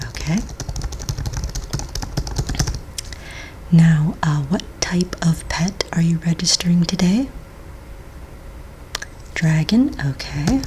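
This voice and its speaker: Woman as patient ASMR office manager: breathy near-whisper, slow and unhurried, courteous form-filling manner, keys clicking behind. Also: low voice. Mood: gentle, explanatory. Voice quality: soft, breathy, half-whispered. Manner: gentle, unhurried, hesitant official questioning. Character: patient, polite female office manager registering fantasy pets. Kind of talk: soft-spoken ASMR roleplay. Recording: close mic, faint noise, keyboard clicks. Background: computer keyboard typing and clicks.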